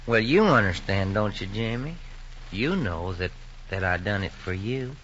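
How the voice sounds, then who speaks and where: A man's voice: on the low side, animated, a little slow, a bit bright. A man playing a folksy, gullible farmer father with a Southern American accent, eager and coaxing, in old-time radio drama style. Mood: sheepish, pleading. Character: gullible, hopeful farmer father chasing get-rich schemes. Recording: dull, noisy old radio recording.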